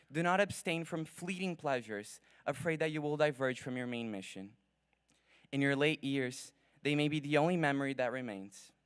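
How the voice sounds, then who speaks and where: A young man whose first language is Portuguese, giving an earnest, formal graduation speech from a podium at a ceremony, with measured pacing and dramatic pauses. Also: fairly high pitch, smooth, dry recording. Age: young adult. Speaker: graduating student speaker. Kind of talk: prepared commencement speech.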